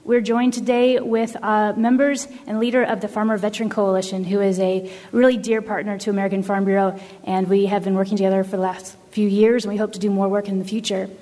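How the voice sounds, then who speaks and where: A woman's voice: mid-range voice, flat delivery, quick pace, hardly any pauses, mellow, long reverb tail. A woman hosting a workshop, reading a polite, scripted introduction with occasional fillers. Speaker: workshop host introducing a speaker.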